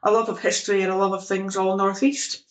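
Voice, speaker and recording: A woman's voice: mid-range voice, flat delivery, a little fast, echoey room. A woman from the west coast of Scotland with a Scottish accent, a librarian listing her archive's resources. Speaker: local studies librarian. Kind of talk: informative podcast talk.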